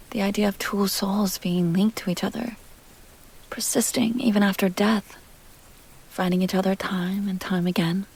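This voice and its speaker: A woman performs as an immortal vampire heiress in an intimate ASMR roleplay. Her voice is husky and breathy, often sinking into a whisper with a crackle of fry. She is unhurried and coaxing, by turns teasing, tender and wistful. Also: medium pitch, darkish tone.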